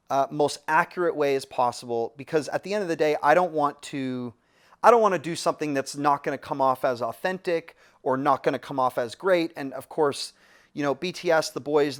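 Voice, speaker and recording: A man, a music producer, casually telling a studio story with lots of fillers and restarts. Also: fairly high pitch. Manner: relaxed, rambling with many fillers and restarts.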